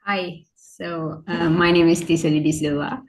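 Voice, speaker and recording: A woman, an economics lecturer, measured and plain, pausing with fillers. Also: fairly low pitch, level tone. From Sri Lanka.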